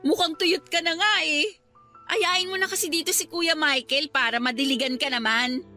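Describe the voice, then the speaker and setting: A woman's voice: sits high, fairly even intonation, moderate pace, pushed and bright, faint music behind. A woman playing a candid, understanding younger sister in a radio drama, chiding and playfully teasing. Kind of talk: radio drama dialogue in Filipino.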